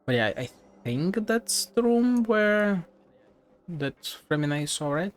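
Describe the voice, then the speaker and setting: A man's voice: high voice, big pitch swings, slow pace, clear, resonant tone. A man giving casual, blunt playthrough commentary as a game streamer, musing offhand and swearing. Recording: game music behind the voice.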